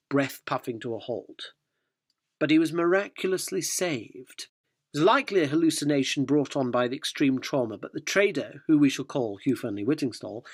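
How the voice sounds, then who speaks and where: A man's voice: fairly high pitch, dry recording, studio quality, lightly acted. An actor playing a cynical, self-important male newspaper reporter, narrating a radio drama in a wry, wordy, sardonic way. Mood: wry storytelling.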